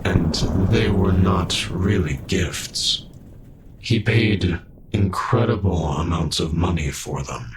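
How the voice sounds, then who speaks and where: A deep, slow-spoken cyborg guardian of great age, acted by a man, gentle and awkwardly kind. He picks his words with halting formality in an audio drama, over clearly audible noise. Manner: halting, careful, softly formal. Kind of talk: fan audio drama acting.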